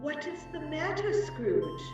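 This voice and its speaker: A woman's voice: high-pitched, sing-song, a little slow. A woman playing a solemn, unearthly spirit in a dramatic reading, stately and commanding. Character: solemn, unearthly, childlike yet old female spirit. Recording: very echoey, distant, muffled, music behind.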